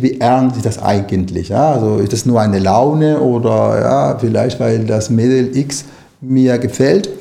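Mellow middle-aged man. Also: medium pitch, vocal fry. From Nicaragua. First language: Spanish. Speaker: interview guest, writer and language lecturer. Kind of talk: conversational interview answers.

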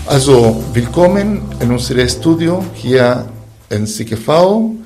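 A man hosting a radio talk show from a studio, introducing guests in a slow, halting, formal way. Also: mid-range voice, animated, a little mellow, faint music behind.

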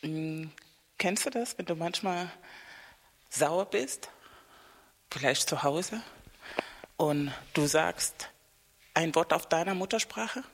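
A woman hosting a casual podcast conversation, lively and affirming toward her guest. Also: lowish voice, slow pace, pauses often, big-room sound.

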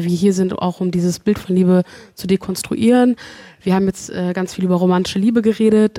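A woman poet casually introducing herself with frequent filler sounds, then reading her poem more slowly at a live reading. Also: medium pitch, warm tone. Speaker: poet and workshop leader.

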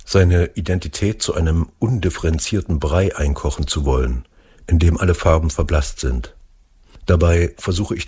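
A man reading an essay aloud in a measured, deliberate way. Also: low voice, a little mellow, breathy edge. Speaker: reader.

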